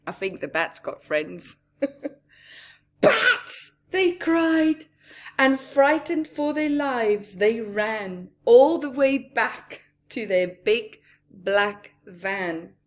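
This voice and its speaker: A woman reading a picture book aloud to young children, expressively, with asides to her listeners and hushed whispers. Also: fairly high pitch, lively intonation. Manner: acts out characters, pausing for asides to listeners. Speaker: children's story reader.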